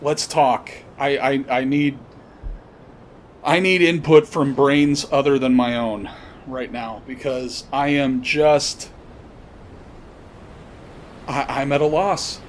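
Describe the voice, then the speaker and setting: A man delivers an off-the-cuff political monologue as a podcast host at a middling pitch. He is blunt and halting, circling back on his words, with some low rumbling noise behind him. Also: fairly even intonation. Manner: halting, searching delivery with pauses and repetitions; blunt, profane. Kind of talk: unscripted political commentary monologue.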